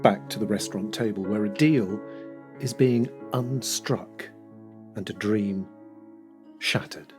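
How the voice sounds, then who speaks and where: A narrator delivering scripted drama narration in a deliberate, measured storytelling manner. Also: mid-range voice, music in the background.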